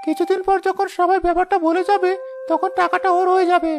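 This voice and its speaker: A man's voice: extremely high pitch, flat delivery, fast talker, vocal fry, slight background noise. A man voicing a spiteful, suspicious village gossip in a Bengali cartoon, sneering and accusing. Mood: scheming.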